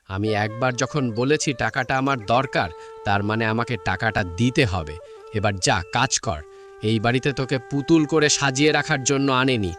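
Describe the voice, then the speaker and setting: A man's voice: medium pitch, fairly bright tone, lightly acted. A man voicing a bossy, greedy young village husband in a cartoon drama. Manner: bossy, scolding.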